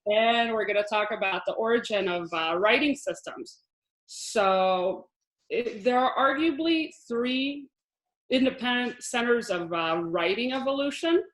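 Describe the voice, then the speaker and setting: A woman's voice: a little high, animated, a little slow, a bit bright. Woman from israel teaching hebrew on a video call, chatty, restarts a lot, echoey room. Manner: explanatory, chatty, laughs often, many restarts. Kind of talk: online lesson with shared slides.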